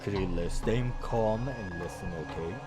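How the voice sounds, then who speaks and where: A man's voice: low voice, a little slow, voice pushed down. A man voicing a big, strong doctor and adventurer in a visual novel, firm, commanding and reassuring, over music. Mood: urgent, calm. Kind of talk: visual novel character acting. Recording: music behind the voice.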